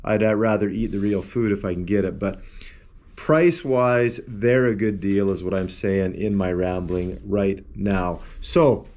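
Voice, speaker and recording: A man's voice: lowish voice, fairly even intonation, unhurried, a little mellow. A man in his forties giving a casual, rambling review to camera at a desk in a small room. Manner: rambling, easygoing, talks while handling the tub and shaker. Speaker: protein powder reviewer. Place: small indoor room with shelves of supplement tubs. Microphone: camera at a desk, wide framing.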